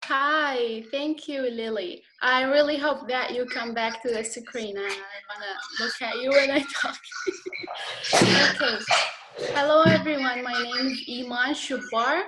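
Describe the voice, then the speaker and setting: A woman's voice: sits high, fairly even intonation, unhurried, background noise. A woman with an Iraqi accent telling her personal story as a guest on a video call, hesitant and slightly nervous, with many fillers. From Iraq.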